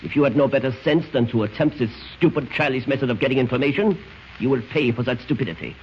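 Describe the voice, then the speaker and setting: A man's voice: medium pitch, fast, close to an everyday voice. A man acting a cold German radio villain. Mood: cold threat. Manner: formal, precise, threatening. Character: cold, menacing German villain, formally polite. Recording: muffled, hissy old radio recording.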